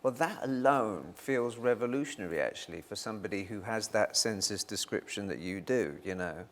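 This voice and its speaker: A male interviewer with a lowish voice asks calm, measured questions. He keeps the guest going with steady murmurs of agreement. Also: slight background noise. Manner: measured, affirming, frequent "mm-hmm" backchannels. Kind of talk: conversational interview.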